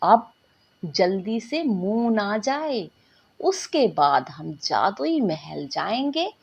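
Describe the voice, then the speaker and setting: A woman's voice: medium pitch, big pitch swings, drawn-out words, vocal fry, slightly rough, highs cut off, heavily acted. A woman voicing a dreamy, bossy young girl from a magical world in a bedtime story, earnest and dramatic. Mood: eager.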